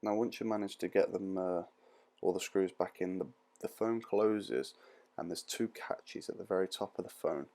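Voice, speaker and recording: Low, creaky-voiced man giving instructions. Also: moderate pace, warm tone. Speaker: repair tutorial presenter. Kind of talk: informal step-by-step instructional voice-over.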